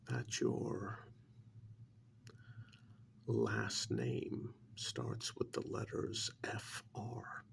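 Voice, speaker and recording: A man playing a sly, menacing interrogator in a soft-spoken ASMR role-play, half whispering with insinuating, drawn-out pauses and mock admiration. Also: mid-range voice, a little hiss or hum, exaggerated voice. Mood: insinuating, ominous. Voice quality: breathy whisper mixed with gravelly creak. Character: sly, menacing male interrogator taunting a mad scientist.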